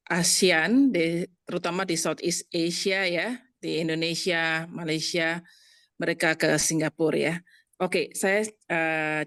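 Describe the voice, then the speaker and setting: Low-voiced woman presenting at a webinar. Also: fairly bright tone, smooth, dry recording, clean studio sound. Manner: hesitant, frequent filler sounds, mixes in English terms. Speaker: guest speaker. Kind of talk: online presentation. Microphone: video call.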